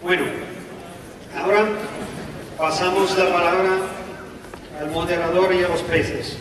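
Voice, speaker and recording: A man presenting at a conference session, reading out numbered points in a measured way. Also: high voice, flat delivery, a bit bright, recorded from a distance, slight background noise. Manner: enumerating, measured. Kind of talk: reading poll results aloud. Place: large conference hall. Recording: echoey hall, voice from afar.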